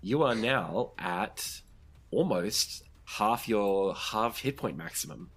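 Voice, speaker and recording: A man with a low, husky voice acts as game master for a tabletop game. He describes the action as he goes and checks rules with the players. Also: slow, fairly bright tone. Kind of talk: improvised tabletop game narration.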